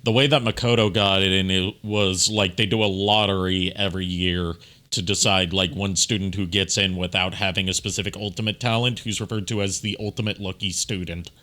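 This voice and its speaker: A man's voice: sits low, steady tone, fairly quick, bright, forceful tone. A man giving casual, chatty commentary as a streamer, often explaining things in asides. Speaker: streamer and voice actor commenting on a fan dub he was in.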